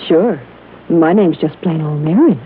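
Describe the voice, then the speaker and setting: A woman's voice: deep voice, measured pace, narrow, tinny audio. An American actress playing a friendly, teasing female parole officer in a mid-century radio drama. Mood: friendly, coy. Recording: thin vintage radio, faint noise.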